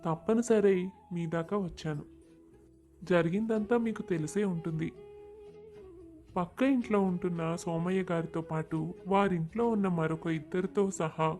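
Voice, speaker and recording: A man's voice: sits high, a little mellow, close to an everyday voice. A man playing an earnest, respectful young man who reproaches his elders in a moral story. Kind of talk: dramatized moral story dialogue. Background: music.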